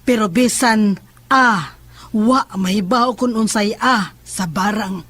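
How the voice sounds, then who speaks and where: A woman playing a menacing, self-assured sorceress in a horror audio drama, speaking in a deliberate, sinister way. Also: medium pitch, a bit bright, slightly rough, no echo. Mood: confident, boastful. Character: menacing, self-assured female sorceress who casts curses. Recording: music under the voice.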